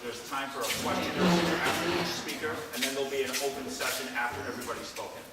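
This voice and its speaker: A man moderating the meeting talks quickly and businesslike from far off across a cavernous hall. Loud background noise and long reverb surround his voice. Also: mid-range voice, steady tone. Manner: brisk, procedural. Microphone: no microphone, far from the recorder. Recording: roomy hall echo, distant and noisy.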